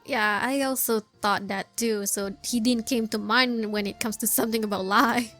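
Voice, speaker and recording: A woman's voice: fairly high pitch, fairly even intonation, normal speed, fairly bright tone, faint music behind. A woman giving casual gameplay commentary, hesitant and musing aloud as she goes. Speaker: game player and commentator.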